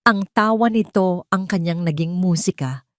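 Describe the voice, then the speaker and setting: A lower-pitched woman tells a romantic drama in a measured, heartfelt voice. Her narration is close-miked, with a dry sound and no room around it. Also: no room sound. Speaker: storyteller.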